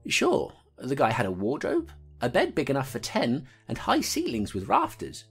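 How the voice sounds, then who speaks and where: A woman playing a low-voiced constable detective. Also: huge pitch swings, moderate pace, gravelly, slightly rough, faint music behind, heavily acted. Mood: matter-of-fact. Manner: matter-of-fact storyteller. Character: rattled female constable narrating her case. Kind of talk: audio drama acting.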